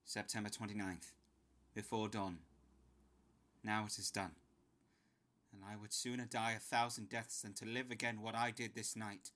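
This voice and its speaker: An actor playing a haunted, earnest gentleman doctor narrating his diary in sombre, measured radio drama style. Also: fairly low pitch, flat delivery. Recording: very loud music under the voice.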